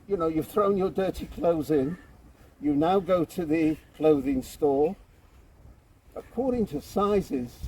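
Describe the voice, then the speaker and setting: Slow-spoken British older man. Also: sits high, dark tone, slight background noise, low-quality recording. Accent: British, from Manchester. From England. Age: 70s. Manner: slow, measured, storytelling delivery. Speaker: kibbutz member giving a guided talk. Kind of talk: unscripted guided explanation to camera. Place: outdoors on a kibbutz.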